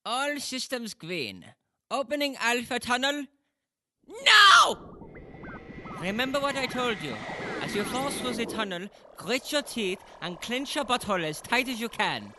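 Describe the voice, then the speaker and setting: An actor playing an earnest, excitable tunnel engineer, blunt and eager, in a sci-fi audio drama. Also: male voice, very high voice, a little slow, exaggerated voice. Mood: urgent. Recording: clearly noisy background.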